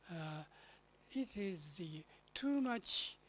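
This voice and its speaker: A person in the audience asking a halting question, quiet and full of fillers. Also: medium pitch, expressive.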